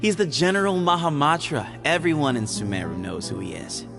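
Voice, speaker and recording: A man voicing a sly, playful young man in a video game, teasing and evasive, with a relaxed manner. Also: a little high, a little fast, creaky, faint music behind. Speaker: game character.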